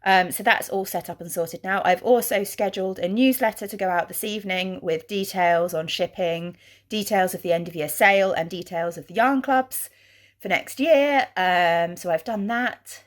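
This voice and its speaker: A woman vlogging in a chatty, rambling way, her voice husky from congestion. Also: mid-range voice, moderate pace. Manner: rambling, chatty, with many ums. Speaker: craft vlogger. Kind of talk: casual vlog chat. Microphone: handheld camera.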